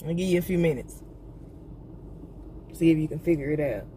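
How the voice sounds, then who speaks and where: A woman's voice: low-pitched, moderate pace. A young woman vlogging from inside a parked car at night, ranting bluntly and profanely to her viewers. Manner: blunt, profane, animated rant. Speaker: vlogger, entrepreneur. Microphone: phone camera on the dashboard. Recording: car interior with clear noise.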